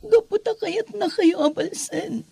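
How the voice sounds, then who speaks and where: A very high-voiced daughter acted by a woman in a melodramatic audio drama. She is anguished and reproachful, pleading emotionally with her father. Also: a little slow, gravelly, dark tone, no room sound, full character voice. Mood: tearful, reproachful.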